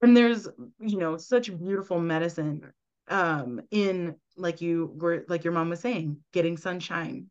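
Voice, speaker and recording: A woman's voice: fairly low pitch, measured pace, darkish tone, muffled recording. A woman guest on a podcast, earnestly explaining at length and often checking in with "right?". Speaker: guest, medical intuitive.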